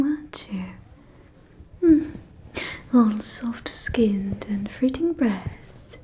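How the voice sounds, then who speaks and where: Casting: actress for a catty aristocratic female vampire, ASMR roleplay, slow whispered gossip, higher-pitched, mellow and teasing. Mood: teasing, condescending. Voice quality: soft, breathy whisper. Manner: conspiratorial, teasing, drawn-out delivery.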